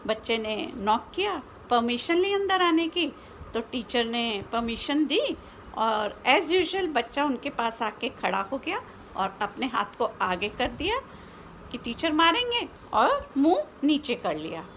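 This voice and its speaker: High-voiced Indian woman telling a story. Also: big pitch swings, slow pace, fairly bright tone, slight background noise, low-quality recording. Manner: explanatory, with rhetorical questions and English words mixed in. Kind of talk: informal spoken storytelling for children.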